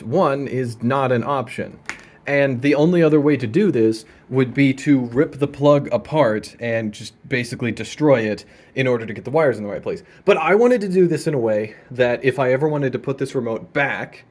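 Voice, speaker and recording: Casual man giving an offhand hands-on demo. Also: mid-range voice. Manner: laid-back, playful dry humour. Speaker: video host demonstrating vintage audio gear. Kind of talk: casual informal demonstration, unscripted. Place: indoors in a room at home.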